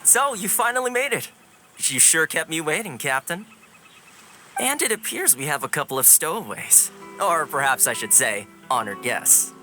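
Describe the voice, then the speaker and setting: An actor playing an easygoing, wry port official in a video game, with a teasing manner. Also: male voice, fairly high pitch, very animated, normal speed, pushed and bright, soft background music, full character voice. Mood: wry, welcoming. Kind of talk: video game cutscene acting.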